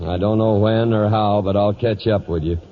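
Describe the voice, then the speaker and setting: An actor playing a stubborn, domineering trail boss in a 1940s radio western, terse and menacing. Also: male voice, fairly low pitch, steady tone, moderate pace, background noise, natural voice. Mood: vengeful menace. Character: hard, stubborn, domineering cattle drive boss. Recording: dull old radio broadcast with audience.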